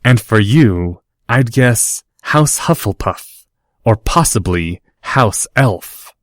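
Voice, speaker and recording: A man's voice: fairly low pitch, animated, slow pace, no room sound, studio quality. A man voicing a proud, snobbish rich boy who talks down to others. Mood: haughty. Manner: lofty, condescending.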